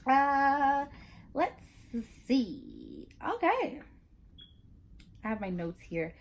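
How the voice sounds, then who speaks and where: A woman's voice: sits high, wildly swooping pitch, very slow, a little hiss or hum. A young woman with a Spanish accent talking frankly and casually to her viewers, cursing freely and stumbling through fillers and restarts. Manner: frank and chatty, swears, many fillers and restarts. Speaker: vlogger. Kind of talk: casual educational monologue.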